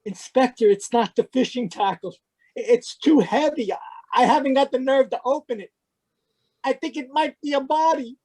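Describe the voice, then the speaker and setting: A woman plays an anxious, guilty husband in a radio mystery. The voice is fairly high, and the manner is one of nervous protest. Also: normal speed, darkish tone, close to an everyday voice, low-quality recording. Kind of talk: old-time radio mystery acting. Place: live video call at home. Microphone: video call.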